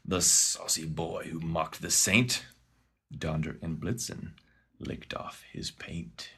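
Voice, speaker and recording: A man's voice: very deep voice, slow, vocal fry, fairly bright tone, breathy edge. A man narrating a rhyming storybook poem in a dramatic, theatrical manner. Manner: dramatic, swooping delivery.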